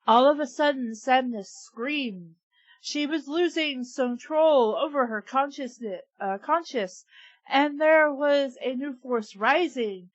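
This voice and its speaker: A high-pitched woman reads bad fan fiction aloud at an unhurried pace, switching from deadpan narration to sarcastic asides. The sound is dry and close, and a little dull and lo-fi. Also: dry recording. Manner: deadpan reading broken by sarcastic asides and giggles. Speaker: reader and podcast host. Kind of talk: comic dramatic reading of fan fiction.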